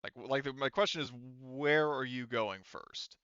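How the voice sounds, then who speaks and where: Bright, clear male game master. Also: medium pitch, normal speed, no echo, lo-fi sound. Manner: rambling, self-correcting explanations. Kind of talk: running a tabletop roleplaying game, casual.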